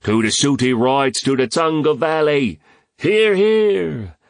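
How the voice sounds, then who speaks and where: A man's voice: a little high, lively intonation, slow pace, no echo, voice pushed up. A jovial, teasing Irishman from an audiobook, played by a male narrator, speaks in a mock Irish accent. His raised voice swings widely as he banters theatrically. The recording is close and dry. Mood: hearty toasting. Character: jovial, teasing Irishman trading quips at a party.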